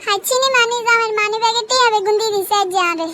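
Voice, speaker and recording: A woman's voice: very high voice, fast talker, noisy background. A woman voicing a gullible, demanding young cartoon wife with a Noakhali Bangladeshi accent and an insistent, nagging manner. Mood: eager. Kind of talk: comedy cartoon dialogue.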